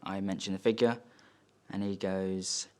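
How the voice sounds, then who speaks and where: Low-voiced wounded son, acted by a man. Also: little pitch movement, unhurried, darkish tone. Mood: tense, subdued. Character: wealthy, wounded, bitter son recounting family conflict. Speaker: actor performing a monologue. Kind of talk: dramatic stage monologue, first-person storytelling. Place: studio.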